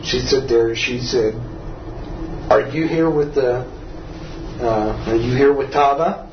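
An older man from Texas, telling a personal story as a veteran in an interview, in a rambling, halting, self-correcting way. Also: mid-range voice. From Texas, United States. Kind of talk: personal oral-history storytelling. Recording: roomy, dull, faintly noisy, distant mic.

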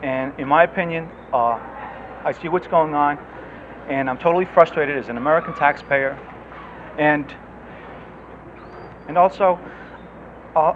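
A man born in Greece asking a politician an impassioned question, earnest and frustrated, often restarting his words. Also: fairly high pitch. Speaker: audience member asking a question. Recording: lo-fi outdoor recording with street noise.

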